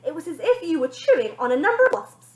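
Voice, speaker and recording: A woman voicing a bossy, rude young girl who demands and shouts orders in a storybook reading. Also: high-pitched, sing-song, quick pace, roomy sound, pitched above the performer's own voice. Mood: indignant.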